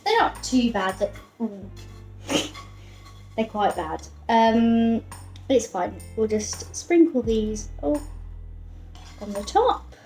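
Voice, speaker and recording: A woman's voice: fairly high pitch, expressive, slow pace, pauses often, a little mellow. A woman presenting cooking in a casual, chatty way, with light music behind.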